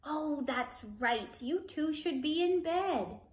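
A woman's voice: high-pitched, lively intonation, slow, pitched above the performer's own voice. A woman voicing a patient, reassuring mother of young children in a storybook, soothing and assured. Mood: assured, loving. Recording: echoey room.

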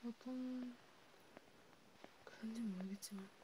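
A woman whispers casual ASMR chat, her voice mostly breath with little tone. She murmurs in short, halting phrases with pauses between them. Noticeable background noise runs under the recording. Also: on the high side, fairly even intonation, dark tone. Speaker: ASMR creator.

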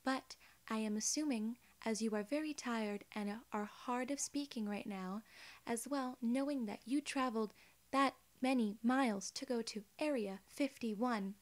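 A woman in her twenties voicing a polite alien gatekeeper in soft-spoken, measured, reassuring ASMR role-play. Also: fairly high pitch, natural voice. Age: 20s. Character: polite, formal female alien gatekeeper at a wormhole.

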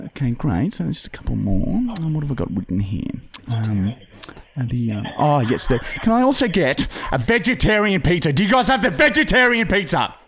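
A man's voice: on the high side, lively intonation, fairly quick, fairly bright tone, slightly rough, exaggerated voice. An Australian man playing a polite, rambling, hesitant female customer on a prank phone order. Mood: dithering, chatty. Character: chatty, dithering female pizza customer on a prank call.

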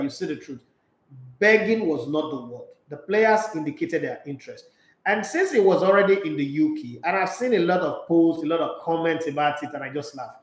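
A middle-aged Nigerian man hosting a sports radio talk show, animated and emphatic, mixing pidgin into his English. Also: on the high side. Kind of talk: live sports talk show, reading viewer comments. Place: small radio studio. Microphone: studio microphone with headphones. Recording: echoey studio room.

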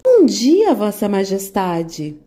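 A woman's voice: mid-range voice, huge pitch swings, slow. A woman voicing a polite young peasant girl in a children's story. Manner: gentle, polite and apologetic.

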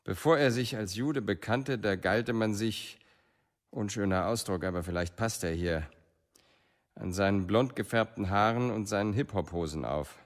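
A man's voice: fairly low pitch, normal speed, natural voice. An actor playing a sober male official in a documentary radio play, speaking formally and analytically. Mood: detached.

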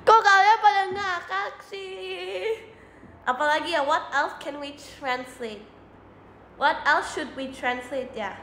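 A young woman on a casual livestream, her voice high and unhurried. She is playful and giggly and drops English phrases into her chat. The small room leaves a roomy echo and a faint hiss behind her. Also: bright, forceful tone. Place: small indoor room, seated at a desk. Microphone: phone or webcam in front of her.

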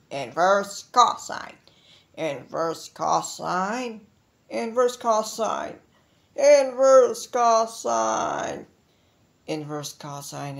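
A performer voicing animated number and math symbol characters in drawn-out, theatrical single-word audition takes. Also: medium pitch, gravelly, full character voice. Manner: drawn-out, theatrical delivery of isolated words.

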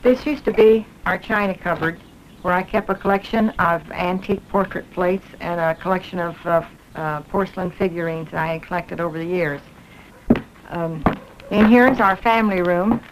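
Woman, 50s, lower-pitched, hesitant and plain-spoken homeowner giving testimony; noisy old film sound. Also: moderate pace. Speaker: fire survivor, homeowner. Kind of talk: personal testimony, walking tour. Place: burned-out rooms inside a house.

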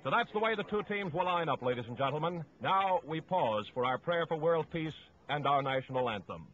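Man, high voice, vintage sports radio announcer, formal measured delivery, dull lo-fi broadcast, music behind. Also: animated, a bit bright. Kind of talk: 1950s radio football commentary, reading starting lineups.

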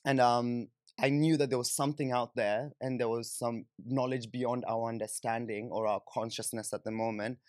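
A man's voice: medium pitch, fairly even intonation, moderate pace, hardly any pauses, a little mellow, no room sound, clean studio sound. A young man with a Kenyan accent telling personal stories conversationally and informally, with lots of "like" and "you know". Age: young adult. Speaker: podcast guest. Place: small indoor podcast studio, on a sofa. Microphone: close studio microphone on boom arm.

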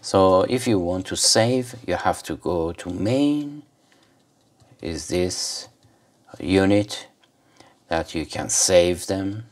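Low, slow-talking man demonstrating a gadget. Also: animated. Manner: rambling, hesitant. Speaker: gadget reviewer. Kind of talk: casual unscripted product demonstration.

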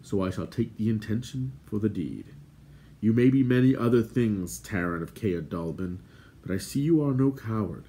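A man voicing a noble warrior lord in a storybook, formal, commanding and gently stern. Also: on the low side, lively intonation, normal speed. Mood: gently reproving.

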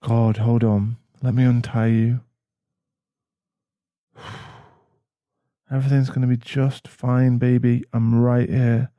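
A man's voice: medium pitch, little pitch movement, slow, long pauses, dark tone, dry recording, studio quality, close to an everyday voice. A man playing a protective, tender werewolf boyfriend in an ASMR roleplay, speaking in a soothing, reassuring manner. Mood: soothing, worried.

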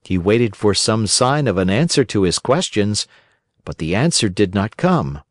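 Expressive male storybook narrator. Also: on the low side, measured pace, no room sound, clean studio sound. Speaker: audiobook narrator. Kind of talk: scripted children's story narration.

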